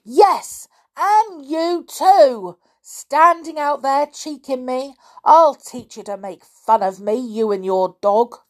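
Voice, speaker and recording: A cantankerous old man from a children's storybook, as a woman reader puts him on. He is suspicious and scolding, with rustic turns of phrase. His pitch is pushed up and swings about as he grumbles. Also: animated, slow pace. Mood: furious. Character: grumpy, deaf old man, a suspicious caretaker.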